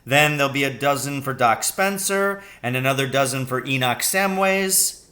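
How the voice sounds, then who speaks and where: A man's voice: mid-range voice, animated, normal speed, bright, forceful tone. A man voicing a proud, confident poacher father who speaks in an assured, instructive way in a storybook reading. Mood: pleased.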